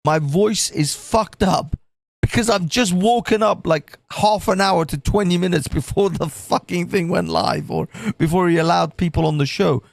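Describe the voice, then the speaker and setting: Croaky gravelly guy rambling on his stream, swears a lot, dry close sound. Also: high-pitched, normal speed, no room sound. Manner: swearing, rambling with many restarts. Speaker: livestream host. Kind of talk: casual livestream chat.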